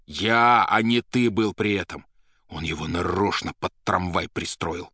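A man's voice: low-pitched, fairly even intonation, a little slow, pushed and bright, slightly rough, dry recording, clean studio sound, heavily acted. A man voicing an agitated, half-crazed young poet in an audiobook, indignant and vehement, with colloquial speech. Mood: angry.

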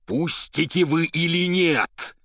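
A man's voice: fairly low pitch, expressive, moderate pace, fairly bright tone, no echo, clean studio sound, voice pushed up. A man voicing an agitated, half-crazed young poet in an audiobook, indignant and vehement, with colloquial speech. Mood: wild, cornered.

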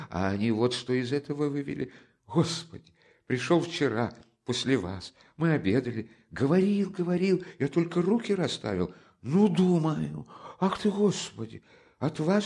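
A man's voice: a little high, vocal fry, darkish tone, husky, voice pushed up, heavily acted. A man voicing a fussy, sly middle-aged investigator who talks in a wheedling, fawning way. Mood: fussy, chattering. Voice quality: wheedling, fawning, with deferential "-s" endings. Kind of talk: audiobook character voice.

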